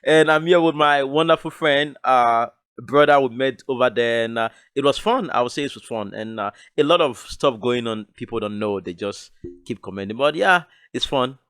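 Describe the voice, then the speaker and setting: A man in his thirties, a returning guest on a casual podcast, friendly and a little halting with fillers. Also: medium pitch, a bit bright, clear, resonant tone, dry recording, studio quality. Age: 30s.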